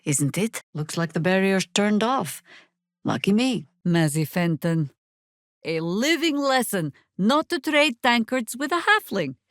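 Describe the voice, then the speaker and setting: A weary older-ish warrior druid lady for a video game, lowish voice, super slow, dry sarcastic wit. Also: no room sound. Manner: dry, sardonic, measured. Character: seasoned, wry, world-weary female Harper warrior druid. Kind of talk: dramatic video game character dialogue.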